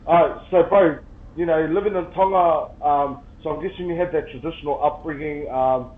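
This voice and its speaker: Upbeat podcast host, dull lo-fi sound. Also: male voice, on the high side, normal speed. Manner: upbeat, friendly, says bro and brother a lot. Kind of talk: casual podcast interview. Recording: dull lo-fi sound with faint noise.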